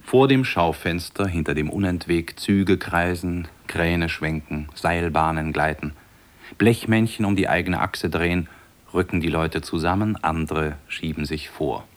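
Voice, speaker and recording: An actor serving as a measured, observant narrator in a literary radio drama. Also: male voice, sits low. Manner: measured, descriptive. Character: solemn, observant male narrator of city street.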